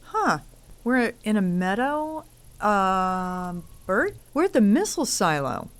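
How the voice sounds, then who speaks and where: A woman's voice: medium pitch, sing-song, slow pace. A woman playing a detective's wry, practical sidekick in an audio drama, speaking in a dry, matter-of-fact way. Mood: puzzled.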